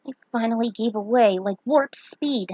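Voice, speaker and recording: A woman's voice: a little high, normal speed, warm tone, dry recording, dull audio. Woman reading her own fan story. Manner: earnest amateur reading with small slips. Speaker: fan fiction author. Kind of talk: reading her own story aloud, then a casual afterword.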